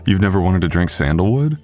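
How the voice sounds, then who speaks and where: Man acting a deep-voiced, devoted husband. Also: darkish tone. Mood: playful, teasing. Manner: halting, tender, self-deprecating. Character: sweet, apologetic, devoted young husband. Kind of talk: boyfriend ASMR roleplay, intimate solo acting.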